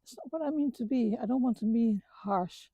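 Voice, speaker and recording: A middle-aged woman from the Netherlands with a Dutch accent, talking earnestly about her life with many halting restarts. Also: a little high, warm tone, husky, no room sound. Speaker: vlogger. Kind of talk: reflective personal talk. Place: outdoors on a walk.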